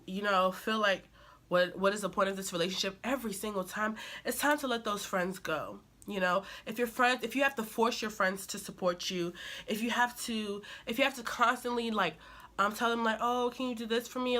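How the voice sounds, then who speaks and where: A young woman talks to her viewers, nasal and a little stuffy from a cold she has just shaken. Her voice is bright and forceful, and she sounds earnest and encouraging, with a preachy edge. Her pitch sits in the middle range for a woman. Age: young adult. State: recovering from a cold. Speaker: vlogger. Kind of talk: casual advice talk to camera.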